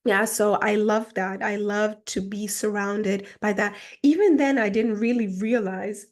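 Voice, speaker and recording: A woman's voice: mid-range voice, steady tone, a little slow. Woman from Germany, casual vlog mentor, chatty and reflective, mid-height voice, heavy on "like". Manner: chatty, reflective, fills speech with "like". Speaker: personal mentor. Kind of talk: casual personal vlog monologue.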